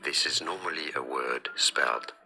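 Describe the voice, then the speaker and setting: A man narrating an explainer video in a flat, boring-sounding voice, heard as a clip played back over speakers. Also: rumbling low, normal speed, creaky, rough recording.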